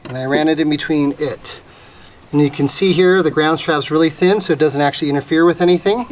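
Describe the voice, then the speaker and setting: A man's voice: fairly high pitch, brisk. A man hosting a casual how-to, explaining an installation step by step in a plain-spoken way, as he demonstrates. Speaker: host of a vehicle installation how-to. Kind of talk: casual hands-on tutorial narration. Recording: clear background ambient noise. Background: ambient noise.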